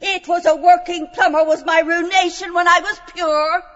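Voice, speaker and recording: A woman's voice: very high voice, fairly even intonation, moderate pace, fairly bright tone. An actor playing a contrite fallen young woman with genteel pretensions, confessing in an audiobook drama. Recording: muffled, long hall reverb.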